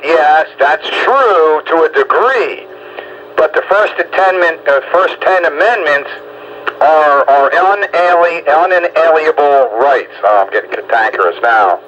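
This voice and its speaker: An American man with a slightly raised pitch argues his views in casual discussion. He is insistent and often repeats words as he stumbles forward. The audio is dull radio-grade sound with a faint layer of noise. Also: lively intonation, unhurried, fairly bright tone.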